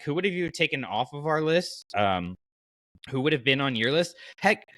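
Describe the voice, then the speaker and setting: A man's voice: lowish voice, pushed and bright, no room sound, low-quality recording. A man hosting a podcast in a casual, enthusiastic and conversational way. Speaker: podcast host.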